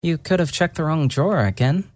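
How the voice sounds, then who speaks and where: A narrator voicing a gentle young woman mage who murmurs softly and deliberately, earnest and lightly teasing, in a fantasy audio story. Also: mid-range voice, animated, voice pushed up, close to an everyday voice.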